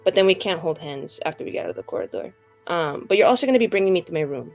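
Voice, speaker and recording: A woman's voice: lowish voice, very animated, rapid-fire, darkish tone, soft background music. A woman voicing a haughty, teasing young female mage in a tabletop game, blustering and scolding as she goes. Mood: awkward bossy. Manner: blustering, scolding, self-correcting.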